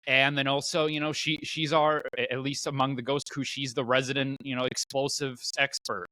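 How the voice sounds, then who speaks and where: A man co-hosting a podcast in casual chat, explaining things haltingly and repeating his words as he goes. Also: medium pitch, flat delivery, bright, forceful tone, dry recording, poor audio. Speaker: podcast host.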